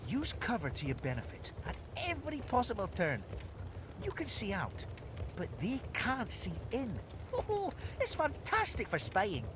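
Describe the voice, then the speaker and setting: Merry little woodland inventor critter for a game, swoopy highish voice, folksy n showing off, noisy ambience behind. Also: male voice, huge pitch swings, normal speed. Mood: sly, chatty. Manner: flowery, folksy, playful wording. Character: boastful, merry male woodland creature, clever inventor mentoring scouts. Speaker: tutorial mentor in a video game. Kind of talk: theatrical storybook game instruction.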